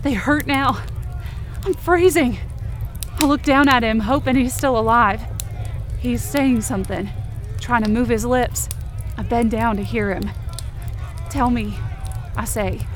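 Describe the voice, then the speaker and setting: A woman acts out a determined, desperate young female rescuer in a supernatural fiction podcast. Her voice sits somewhat high. She narrates in the present tense with intense, emotive urgency. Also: a little slow, long pauses, slight background noise, close to an everyday voice. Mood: tense, grieving. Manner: intense, emotive, swearing under strain. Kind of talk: dramatic first-person present-tense audio drama narration.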